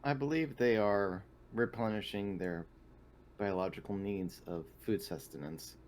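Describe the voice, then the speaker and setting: A man's voice: lowish voice, measured pace, darkish tone, a little hiss or hum, close to an everyday voice. A man playing a polite, literal-minded android in a tabletop roleplaying game, speaking in formal, wordy, clinical phrases. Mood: matter-of-fact. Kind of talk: in-character tabletop roleplay over online game.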